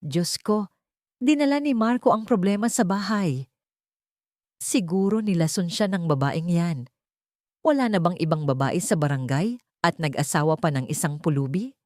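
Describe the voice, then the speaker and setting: A scornful village gossip from an audio drama, put on by a woman narrator. She is a snide neighbour woman with a low, mellow voice. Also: animated, normal speed, pauses often, dry recording, studio quality, lightly acted. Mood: scandalised.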